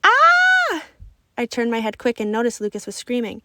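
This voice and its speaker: A woman reading a children's story aloud in expressive, scripted narration. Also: a little high, quick pace, a little hiss or hum. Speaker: storybook reader.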